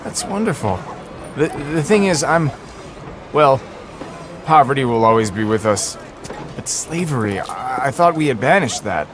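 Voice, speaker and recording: A man acts a humble, earnest monk in a game cutscene. He speaks at a middling pitch, courteous and gentle, sometimes hesitant. Street noise is clearly heard behind him. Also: lively intonation, moderate pace, long pauses, lightly acted. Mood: troubled, earnest. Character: humble, kindly, earnest monk collecting for the poor. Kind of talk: video game cutscene acting. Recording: noisy street ambience behind dialogue.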